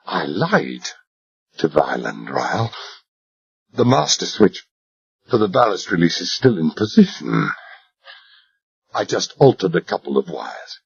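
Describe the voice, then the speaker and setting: A merciless male interrogator, as a male narrator puts him on, speaking low, hushed and implacable. The voice is husky and unhurried, and the dull lo-fi recording softens it. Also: wildly swooping pitch, heavily acted. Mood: menacing. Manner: quiet, menacing, implacable. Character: cold ruthless male interrogator.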